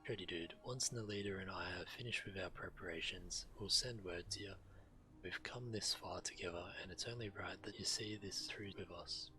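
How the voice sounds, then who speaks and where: A man with a fairly low voice narrates a game stream, reading quest text aloud and adding his own commentary. Clear game music plays behind him. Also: moderate pace, poor audio. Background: video game music.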